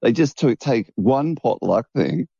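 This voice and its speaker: A man's voice: mid-range voice, expressive, a little slow, gravelly, mellow, breathy edge, no echo. A man co-hosting a casual chat show, stammering at the start of sentences. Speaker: co-host. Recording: dull lo-fi dry sound.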